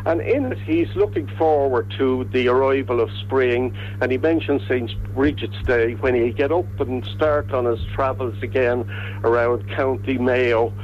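A man from Ireland with a Dublin accent, a guest on a radio chat show, talking haltingly with many restarts. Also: medium pitch, highs cut off, slight background noise. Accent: Dublin Irish.

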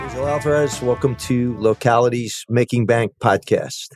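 A man's voice: medium pitch, normal speed, over music. A man hosting a podcast interview, asking questions in an informal, slightly hesitant way. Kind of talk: casual interview.

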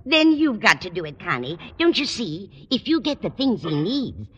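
A woman's voice: medium pitch, big pitch swings, moderate pace, a bit bright, breathy edge. An older woman playing a curious, doting landlady in a 1950s radio sitcom, asking questions in a prying, eager way. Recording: dull old radio broadcast recording.

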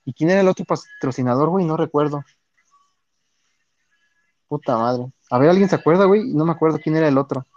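Streamer: higher-voiced Mexican man, loose and foul-mouthed, dull dry lo-fi sound. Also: a little fast, pauses often, darkish tone. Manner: slangy, profane, reads and answers chat. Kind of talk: casual chat with viewers.

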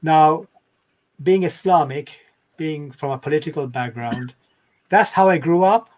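An older man from Bangladesh, speaking as a scholarly interview guest in a measured, reflective, explanatory way. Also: on the high side, a little mellow, poor audio.

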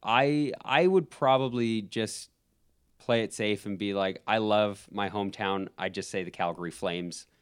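A young man from Alberta with a Canadian accent, chatting casually as a guest, relaxed and quick to laugh, with frequent ums and restarts. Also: on the low side, clean tone. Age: young adult. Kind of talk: casual conversation.

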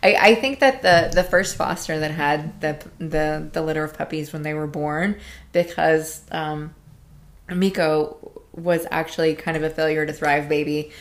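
A woman with a Southern American accent, co-hosting a podcast in casual chat, telling a story in a relaxed way and laughing often. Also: sits low, unhurried. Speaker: podcast co-host.

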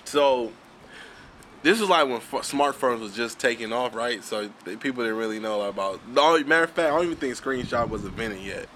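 A man's voice: medium pitch, lively intonation, a little fast, fairly bright tone. A young man tells a story on a casual podcast, laid-back and full of profanity, chuckling along. His voice sits mid-range, with a faint hiss of noise behind it. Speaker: podcast co-host.

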